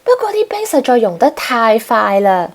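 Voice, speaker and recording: A woman's voice: on the high side, big pitch swings, normal speed. A woman narrating a children's storybook expressively as host.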